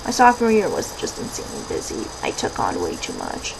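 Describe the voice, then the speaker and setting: A woman's voice: a bass voice, wildly swooping pitch, a little fast. A woman in her twenties with an American accent, chatting casually to camera as a vlogger and rambling into asides. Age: 20s. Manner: rambling, self-interrupting asides. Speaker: vlogger answering viewer questions. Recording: clearly noisy background hiss and ambience.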